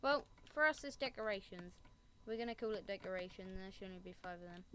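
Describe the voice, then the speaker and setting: A woman's voice: medium pitch, animated, fast, bright, forceful tone, a little hiss or hum. A woman giving a casual Minecraft building tutorial, rambling and making self-mocking asides. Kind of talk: casual gameplay tutorial commentary.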